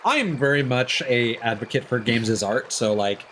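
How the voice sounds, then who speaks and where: A man's voice: medium pitch, animated, normal speed, fairly bright tone, a little hiss or hum. A man chatting casually on a livestream as its host, rambling through his opinions. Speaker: live streamer hosting a game stream.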